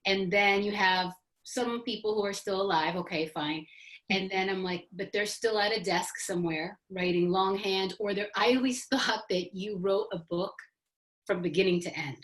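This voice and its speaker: A woman author on an online panel, chatting in an animated, jokey, self-deprecating way. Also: medium pitch, normal speed. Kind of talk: casual panel chat. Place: online video event.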